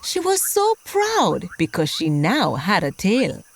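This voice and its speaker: A woman hosting and telling a scripted children's story, expressive and speaking directly to young listeners. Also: mid-range voice, takes it slowly, creaky, background noise. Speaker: host and storyteller of a children's podcast.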